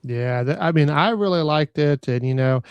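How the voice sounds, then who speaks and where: A man's voice: a little high, lively intonation, moderate pace, clean tone, dry recording, highs cut off. Stammering male podcast host, dry sound. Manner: stammers and repeats words, many fillers. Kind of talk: casual interview chat.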